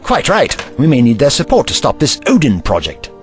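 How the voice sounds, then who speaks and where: Man to play theatrical alien doctor for audio drama: commanding, protective, hugely animated delivery, faint score behind. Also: medium pitch, brisk, faint music behind. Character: urgent, protective male alien doctor and investigator.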